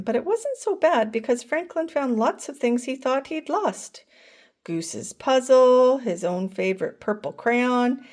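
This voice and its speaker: An older woman reading a picture book aloud to children, gently asking them questions along the way. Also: on the high side. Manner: gentle, asks listeners questions. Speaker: storyteller grandmother.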